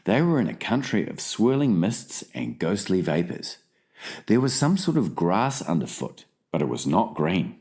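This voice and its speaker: Measured male storybook narrator. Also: low-pitched, big pitch swings, a little mellow. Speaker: audiobook narrator.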